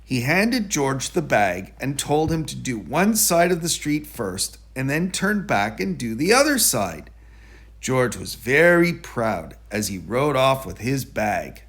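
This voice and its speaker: A man reading a children's story aloud in an expressive, deliberate storytelling delivery. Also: medium pitch, slightly rough. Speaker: storybook reader.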